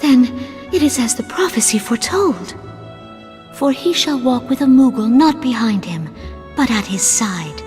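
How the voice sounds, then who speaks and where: High-voiced, regal fantasy princess. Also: female voice, animated, a little mellow, soft background music. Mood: solemn. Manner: formal, measured, ceremonious. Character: young gracious princess, solemn, regal and gentle. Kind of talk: video game cutscene acting. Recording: long hall reverb.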